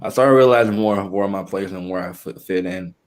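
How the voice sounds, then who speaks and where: A young man with a somewhat low voice, speaking as a guest in a relaxed interview. He talks in halting stretches, full of fillers and restarts, as he works out what he means. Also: a little hiss or hum. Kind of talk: casual conversational interview.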